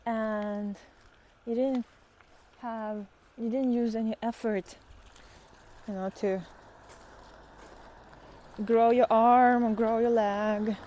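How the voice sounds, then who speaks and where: A woman speaking off the cuff and reflectively on a walk outdoors, musing and halting, often trailing into breathy near-whispers. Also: fairly high pitch, steady tone, dark tone, slight background noise. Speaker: spiritual vlogger and guide. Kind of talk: unscripted reflective talk while walking in nature.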